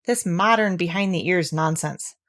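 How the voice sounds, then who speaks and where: A woman voicing a gloomy, self-pitying old male donkey who grumbles slowly and mournfully. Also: on the low side, fairly bright tone.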